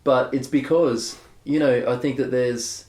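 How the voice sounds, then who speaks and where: A man's voice: mid-range voice, fairly even intonation, measured pace, darkish tone. Rambling man in an echoey room. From Australia. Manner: rambling, candid, many fillers and restarts. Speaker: guest bass player. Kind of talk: casual interview chat.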